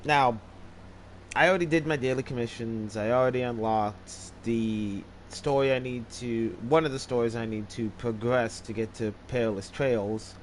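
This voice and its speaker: A man hosting a casual game let's play, congested, talking in a rambling, halting way. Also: mid-range voice, fairly even intonation, slight background noise. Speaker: gaming channel host. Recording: game music loud behind.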